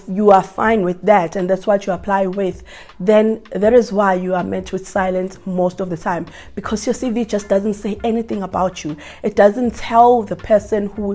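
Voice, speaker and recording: A young South African woman with a South African accent, talking casually to camera and giving job-application advice while hoarse from flu. She talks earnestly, repeating and restarting her phrases. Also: medium pitch, darkish tone.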